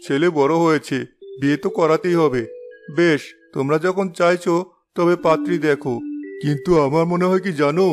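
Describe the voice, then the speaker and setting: A man voicing a plain-spoken family man in an animated moral story. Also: fairly high pitch, normal speed, music in the background, lightly acted. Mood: agreeable.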